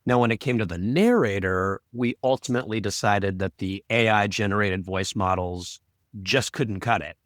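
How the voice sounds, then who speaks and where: A man's voice: fairly low pitch, moderate pace, dry recording, clean studio sound. A man co-hosting a podcast in a conversational, explanatory style. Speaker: podcast co-host. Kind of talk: conversational production discussion.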